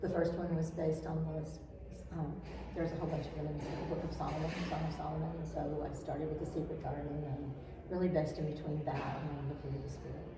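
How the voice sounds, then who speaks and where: Soft-spoken older woman in an echoey room. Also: deep voice, little pitch movement, a little fast. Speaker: author guest. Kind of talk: casual interview answers. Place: echoey room at a writer's retreat, background noise. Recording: echoey distant room mic with faint noise.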